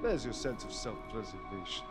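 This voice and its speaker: A man's voice: lowish voice, very animated, moderate pace. An actor playing a cold, ruthless male mercenary who talks with scornful contempt in a video game scene. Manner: scornful, sneering. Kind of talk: video game cutscene acting. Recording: loud music behind.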